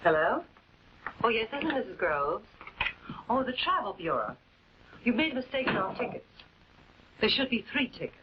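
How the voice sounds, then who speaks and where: A woman's voice: a little high, big pitch swings, moderate pace, long pauses. An actress playing a polite, proper lady of the house in a 1940s television crime drama. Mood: insistent. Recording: muffled, noisy old 1940s film soundtrack.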